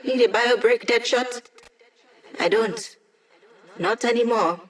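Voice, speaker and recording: A woman's voice: a little high, takes it slowly. An actress voicing a witty young female hacker in a video game, a digitized mind who helps a squad with breezy, wry, techy teasing. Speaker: mission support character in a video game.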